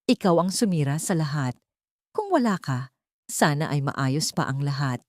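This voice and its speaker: A woman voicing a jealous, unhinged, bitter female villain in an audio drama, shrill and accusing. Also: very animated, normal speed, darkish tone, no room sound, clean studio sound. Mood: shrieking, furious. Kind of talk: Tagalog audio drama acting.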